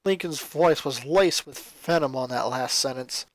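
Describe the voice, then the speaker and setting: A man's voice: mid-range voice, measured pace, no room sound. A man reading fan fiction as a dramatic, scripted story narration.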